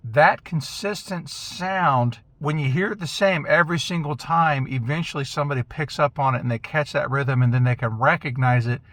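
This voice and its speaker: A man talking casually to camera from inside a parked car, explaining things in a relaxed, conversational way. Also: a little high, moderate pace, hardly any pauses, no echo. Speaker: vlogger, amateur radio operator. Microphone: small camera mic in the car.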